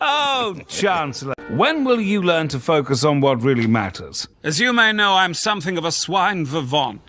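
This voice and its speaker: A man's voice: on the high side, sharp tone. An actor playing a wry, arch man with theatrical, ornate phrasing.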